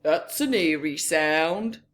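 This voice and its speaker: A woman's voice: low-pitched, animated, slow pace, pitched below the performer's own voice. A woman voicing a frightened, whining robber from a children's picture book, wailing dramatically. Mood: uneasy whining. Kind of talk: storybook character voice.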